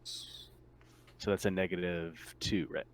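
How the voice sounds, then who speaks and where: A man's voice: sits low, slow pace, slight background noise. A man acting as game master, chatting casually and narrating a tabletop game.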